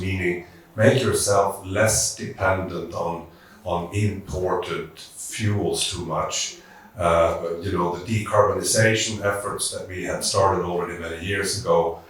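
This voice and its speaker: A man speaking on a conference panel, thoughtful and halting, with restarts as he works through his points. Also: low voice, fairly bright tone. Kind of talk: panel discussion. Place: conference hall. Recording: echoey hall, distant mic.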